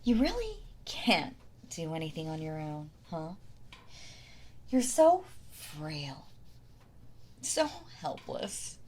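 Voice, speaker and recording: A woman's voice: deep voice, wildly swooping pitch, a little hiss or hum, full character voice. A woman playing a possessive yandere wife in an intimate audio roleplay, doting and condescending, with a sweetly menacing manner. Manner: slow, coddling, condescending, with sighs and soft laughs.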